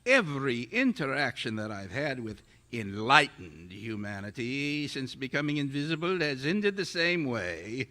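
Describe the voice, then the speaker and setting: A man's voice: mid-range voice, measured pace, hardly any pauses. A man acting as a fervent, condescending mad scientist in a radio drama, theatrical and grandiose. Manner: grandiose, theatrical, stammers when excited. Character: megalomaniac invisible male scientist, fervent and condescending.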